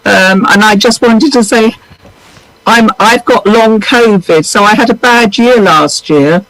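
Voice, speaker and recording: A woman with a deep voice calling in to a live show over a video call, speaking earnestly with frequent pauses. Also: a bit bright, dry recording, rough recording. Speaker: viewer calling in.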